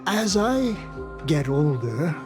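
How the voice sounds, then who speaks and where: An actor playing a gentle, forgetful old father in a game, with a halting, wistful delivery. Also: a little high, big pitch swings. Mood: kindly, wistful. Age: older. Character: gentle elderly father, forgetful and doting, grieving. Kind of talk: dramatic game voice acting. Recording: music behind the voice. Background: clear background music.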